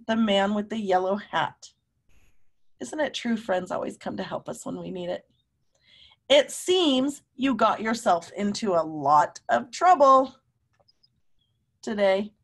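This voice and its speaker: Middle-aged woman, teacher, storybook read-aloud, slightly high pitch, expressive, explanatory asides. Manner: expressive, emphatic, pausing to question and explain. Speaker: teacher reading aloud to students. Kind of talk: children's story read-aloud with questions to listeners. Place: small room at home.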